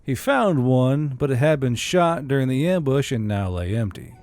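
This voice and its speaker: Man, audiobook narrator, mid-pitched, mellow tone, scripted storytelling, faint music underneath. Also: animated, normal speed. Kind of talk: scripted audiobook narration of fantasy war fiction.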